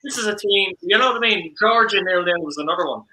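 An Irish man hosting a football chat over a video call, arguing his point and firing off rhetorical questions. His voice is sharp, very bright and on the high side. The room is echoey, with faint noise behind it. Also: fast. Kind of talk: casual post-match chat.

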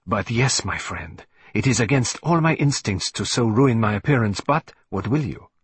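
A man's voice: medium pitch, lively intonation, normal speed, slightly rough, dry recording. A man voicing a fussy, vain middle-aged male detective who speaks in a precise, theatrical, self-assured way. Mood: composed.

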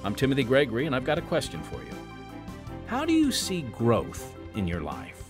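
A man's voice: mid-range voice, huge pitch swings, normal speed, over music. Casting: American male host, folksy scripted storyteller, hugely varied intonation. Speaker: podcast host. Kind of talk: scripted, folksy dramatic hosting narration.

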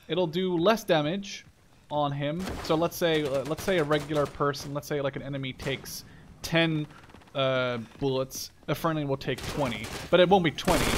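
Male gamer, 20s, loose profane play-by-play, slightly high voice, gunfire noise behind. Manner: rambling, casually profane. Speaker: gaming video creator. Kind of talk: casual gameplay commentary. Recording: game gunfire and artillery noise. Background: shooter game gunfire and explosions.

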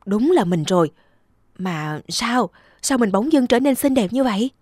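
A woman's voice: on the high side, animated, unhurried, a little mellow, no echo, studio quality. A woman voicing an earnest, devoted young peasant husband in a cartoon folktale, exclaiming as he talks. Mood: astonished.

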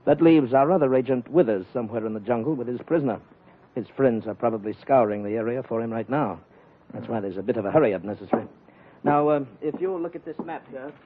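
An actor playing a brisk, courteous British colonial police lieutenant in an old radio adventure. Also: male voice, medium pitch, slight background noise, lightly acted. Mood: brisk briefing. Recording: muffled old radio recording.

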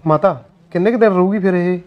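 A spoiled, demanding young son, played by a man, whining in a high, quick voice with a smooth, clean tone. The recording is dull and lo-fi. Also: close to an everyday voice. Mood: whiny. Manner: whines and pleads.

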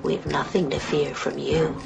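A woman's voice: sits low, little pitch movement, unhurried, creaky, over music, exaggerated voice. A woman in a radio play voicing a mocking, vicious legion of demons inside a possessed girl, in a theatrical manner. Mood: venomous, gloating.

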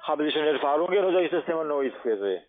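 Call center guy, halting sales pitch, thin phone audio. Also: lively intonation. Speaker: customer service telesales agent. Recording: tinny telephone line.

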